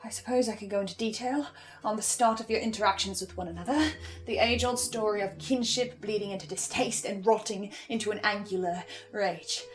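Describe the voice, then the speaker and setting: A woman playing a composed, eerie researcher in a horror audio drama, speaking in a deliberate theatrical way. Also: medium pitch, faint music behind, lightly acted. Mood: cold, menacing. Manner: slow, deliberate, ominous, suave.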